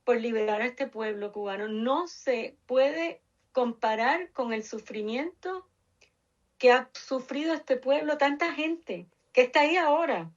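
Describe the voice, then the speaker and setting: A woman's voice: high-pitched, animated, measured pace, highs cut off. A Cuban-born woman in her sixties with a Puerto Rican accent, speaking passionately and earnestly as an interview guest. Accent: Puerto Rican Spanish. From Cuba. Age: 60s.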